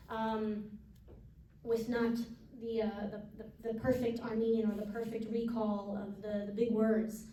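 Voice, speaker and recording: Woman, translator at a talk, halting and reflective, mellow tone, distant mic in a roomy hall. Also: a little high, a little hiss or hum. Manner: halting, thoughtful, many restarts. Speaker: translator at a book event. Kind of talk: answering audience questions. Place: event hall. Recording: echoey hall, distant mic, faint murmur.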